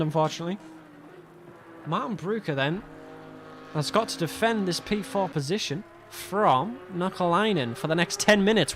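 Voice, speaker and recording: A young man calls a sim race on his own in a fairly high voice, chatty and quick to laugh at himself. His voice is going slightly hoarse, and clear racing car engines run under him. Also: a bit bright, a little hiss or hum. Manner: chatty, self-mocking, laughs often. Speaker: race commentator. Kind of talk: solo sim racing commentary. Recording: game car sounds behind.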